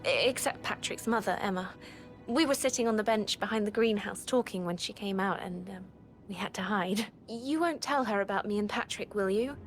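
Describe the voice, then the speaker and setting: A woman's voice: mid-range voice, moderate pace, close to an everyday voice. An actress playing a nervous young female maid who speaks hesitantly. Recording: music behind.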